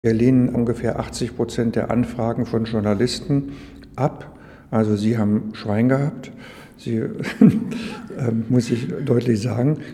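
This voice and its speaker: A man's voice: medium pitch, fairly even intonation, unhurried, warm tone. A man in his seventies speaking bluntly and informally as an interviewed historian. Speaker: museum founder and historian, interviewee. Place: echoing museum interior. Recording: reverberant large space, faint noise.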